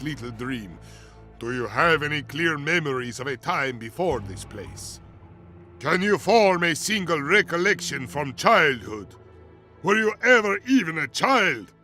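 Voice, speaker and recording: An eerie fantasy-game mystic who doubts that anything is real. His voice is mid-pitched, forceful and sharp, and he speaks very slowly, with cryptic, portentous weight. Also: heavily acted. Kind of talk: theatrical fantasy video game dialogue.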